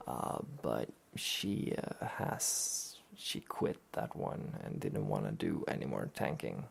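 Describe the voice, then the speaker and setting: Soft quiet guy doing a chill review, super gravelly fry, slow and rambly, kinda whispery. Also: a bass voice, animated. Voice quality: soft, breathy, often near-whisper. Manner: hesitant, rambling, understated. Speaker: host of a soft-spoken anime talk show. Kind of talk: soft-spoken relaxed anime review.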